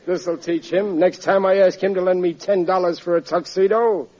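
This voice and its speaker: A man's voice: sits high, steady tone, moderate pace, noisy background, close to an everyday voice. A man playing a ruthless, blustering boss and family man in 1940s radio comedy, with exclamatory, theatrical delivery. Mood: ruthless, imperious. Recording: dull vintage radio recording, studio audience.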